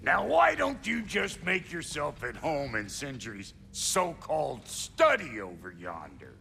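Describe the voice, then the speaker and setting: An actor voicing a fussy, sarcastic dwarf smith who drawls sardonically in a video game. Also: male voice, a little high, wildly swooping pitch, unhurried, creaky, sharp tone, slight background noise, full character voice. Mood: sardonic. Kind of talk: video game cutscene acting.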